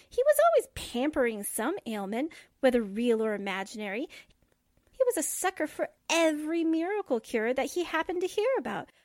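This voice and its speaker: A woman's voice: high-pitched, no room sound, clean studio sound. A woman playing a frank, chatty office manager in a 1940s radio drama, speaking with emphasis.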